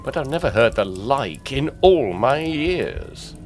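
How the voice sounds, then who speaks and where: A seasoned, courteous police detective in a gothic audio drama. He talks slowly and methodically in a low voice that rises and falls widely. His manner is deferential, with music behind. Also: very animated. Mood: measured, curious. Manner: deliberate, deferential, slightly hesitant.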